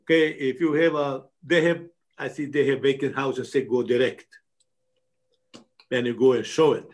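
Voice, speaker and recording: A man's voice: mid-range voice, fairly even intonation, normal speed. A man at a training meeting asking a question hesitantly, often restarting his sentences. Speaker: meeting attendee.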